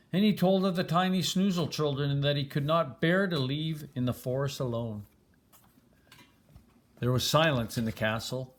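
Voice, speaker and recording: An older man reading a children's storybook aloud as a grandfather would, with expression. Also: on the high side.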